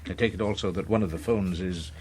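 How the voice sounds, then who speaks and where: A wary British government minister in a radio play, acted by a man. His voice is on the low side over faint ambience. He is terse, guarded and impatient. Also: fast talker, darkish tone, slight background noise, close to an everyday voice. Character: wary, self-protective male government minister. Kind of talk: radio drama acting.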